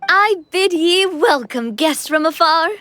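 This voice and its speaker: Casting: upbeat young lady monarch for anime game, high, lively, theatrical, faint music behind. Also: a little slow, heavily acted. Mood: regal, grandiose. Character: cheerful, confident young woman leader playing at being king.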